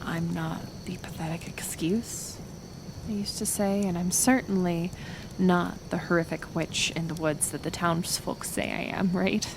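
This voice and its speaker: A woman playing a kind, stubborn healer of the woods in an intimate roleplay audio, speaking softly and hesitantly, with soothing pauses. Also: lowish voice, steady tone, gravelly, noisy background. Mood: earnest, confiding. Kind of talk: roleplay audio acting, intimate one-sided dialogue.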